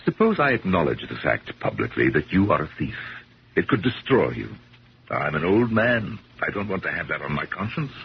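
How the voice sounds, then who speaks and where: A stiff, pompous old gentleman in a vintage radio play. His low voice swings emphatically as he accuses and lectures in formal phrases. The recording is muffled, with noticeable hiss. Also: sing-song, moderate pace, husky, heavily acted. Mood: condescending, grave. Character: rich old man, stiff, accusing, self-righteous collector. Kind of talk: 1970s radio drama acting. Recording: muffled, hissy 1970s radio broadcast.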